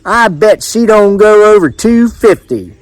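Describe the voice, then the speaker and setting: A man's voice: high-pitched, very animated, a little slow, no room sound, pitched above the performer's own voice. A man voicing a jovial, folksy country fairgoer with rustic phrasing in a storybook narration. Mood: jovial, confident.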